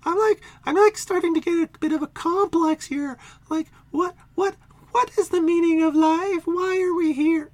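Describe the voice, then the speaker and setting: A man voicing a tiny, squeaky-voiced male creature who talks nonstop in slangy, rambling bursts. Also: steady tone, normal speed, no room sound. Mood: whiny. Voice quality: squeaky, high and nasal.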